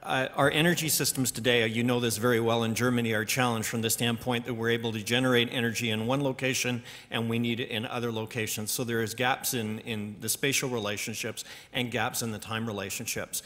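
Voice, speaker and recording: A man speaking on a conference panel, answering audience questions in a measured, explanatory way with some hesitations. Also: medium pitch, steady tone, a bit bright. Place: large conference hall. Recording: reverberant conference hall.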